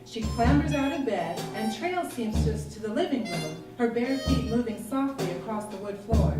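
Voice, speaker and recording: A woman with a fairly high voice gives a dramatic, deliberate reading of a literary story. She sounds distant in a very roomy live space, with loud music playing along. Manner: expressive, deliberate, theatrical. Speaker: story reader at a live performance. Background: live guitar, brass and percussion music.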